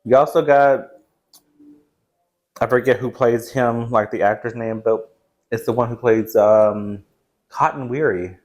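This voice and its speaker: Man, forties, medium pitch, casual film chat, chatty, rambling, movie review co-host. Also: fairly even intonation, a little slow, long pauses, lo-fi sound. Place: small indoor game room.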